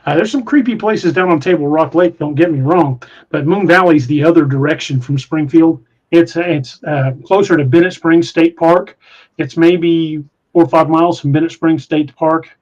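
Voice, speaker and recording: Give me a man with a twang and a slightly high voice, hosting a podcast and rambling through listener comments with lots of restarts. Dull, lo-fi recording. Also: moderate pace, a little mellow. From Missouri, USA. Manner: rambling, hesitant with many restarts. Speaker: podcast host. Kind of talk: casual live-stream chat reading comments.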